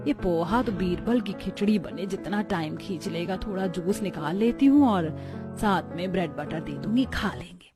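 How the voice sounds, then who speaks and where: A woman's voice: on the low side, expressive, moderate pace, over music, close to an everyday voice. A woman voicing an irritable, lazy and haughty housewife in a cartoon story, grumbling and scornful. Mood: reluctant muttering. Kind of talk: Hindi cartoon story acting.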